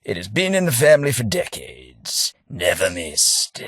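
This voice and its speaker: Casting: mild, wry English gentleman for a game, low-pitched, slow, slightly husky, dry booth. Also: huge pitch swings, dry recording, full character voice. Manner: mild, self-deprecating, drily humorous. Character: gentle hapless posh English gentleman, a former clerk. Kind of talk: game character voice lines.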